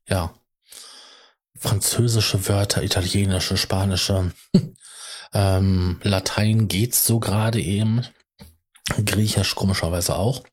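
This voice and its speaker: Man, very husky, lowish voice, halting casual monologue, colloquial, self-interrupting.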